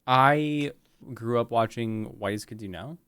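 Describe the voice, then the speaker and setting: A man's voice: medium pitch, unhurried, a bit bright, clean tone, no room sound. A man bantering casually on a live stream in a teasing, joking way. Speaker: stream co-host.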